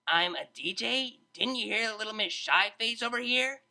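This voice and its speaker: Crude, combative unicorn DJ mare played by a female narrator, high and forceful, slangy storybook character. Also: moderate pace, vocal fry, exaggerated voice. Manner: loud, slangy, confrontational. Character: brash, hot-tempered female unicorn DJ pony, crude and mocking.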